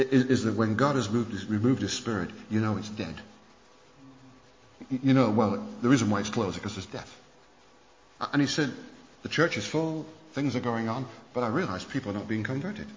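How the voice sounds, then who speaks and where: A man preaching at a conference, telling church history stories in an animated way with many restarts. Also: mid-range voice, a little hiss or hum. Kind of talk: sermon lecture on church history. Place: conference hall. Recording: muffled hall recording with long reverb.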